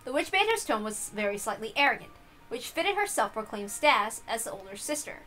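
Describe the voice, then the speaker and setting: A woman's voice: fairly high pitch, very animated, brisk, pushed and bright, faint music behind. A woman reading a visual novel aloud as dramatic scripted narration.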